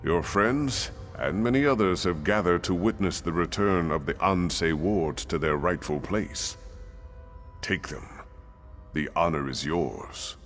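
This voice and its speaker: A deep-voiced, gracious king speaks slowly and with stately formality. Faint game music plays beneath his dignified address. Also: animated, fairly bright tone, heavily acted. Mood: stately, proud. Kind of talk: scripted game dialogue.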